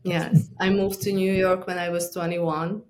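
A middle-aged woman from Romania, a guest author talking through an interview in an earnest, halting way. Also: lowish voice, level tone, a little mellow. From Transylvania, Romania. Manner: earnest, halting, with frequent restarts. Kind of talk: conversational interview.

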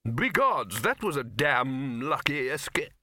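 A man's voice: mid-range voice, big pitch swings, takes it slowly, bright, forceful tone, no echo, studio quality, voice pushed up, full character voice. A man voicing a blustery, commanding older male head wizard, hearty and emphatic, in a comic fantasy audiobook. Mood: blustering.

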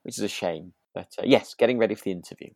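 A man co-hosting a podcast in relaxed, casual chat, hesitant and rambling as he softens his points. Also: fairly low pitch, racing, no echo. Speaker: podcast co-host. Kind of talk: relaxed, casual chat reviewing a radio drama.

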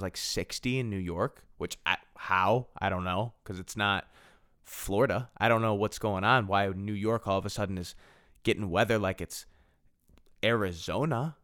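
Low-voiced young man rambling on his podcast. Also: fairly bright tone, clean tone, dry recording, clean studio sound. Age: 20s. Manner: rambling, self-deprecating, repeats and restarts phrases. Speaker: podcast host. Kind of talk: casual solo podcast monologue.

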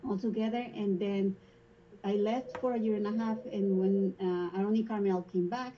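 A woman's voice: medium pitch, fairly even intonation, moderate pace, a little hiss or hum. Woman, Spanish accent, chatty storyteller, laughs a lot, slightly dull recording. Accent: Spanish-speaking. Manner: laughs often while telling stories. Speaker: school front office staff. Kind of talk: casual interview conversation.

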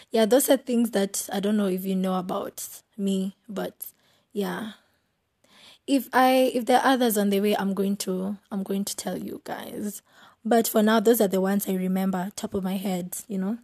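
A young woman with a Kenyan accent, hosting a casual solo podcast, giggly and playful, often trailing off into soft asides. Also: mid-range voice. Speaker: podcast host.

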